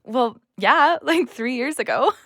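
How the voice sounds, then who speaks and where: A woman's voice: high voice, lively intonation, a little slow, a bit bright, no echo, clean studio sound, exaggerated voice. A woman playing a breezy, casual young woman in an audio drama. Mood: breezy, shy.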